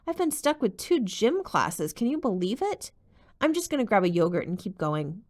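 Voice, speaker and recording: A woman voicing a polite, bright teenage girl detective in an audiobook. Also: mid-range voice, big pitch swings, normal speed, pitched above the performer's own voice. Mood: polite cheerful.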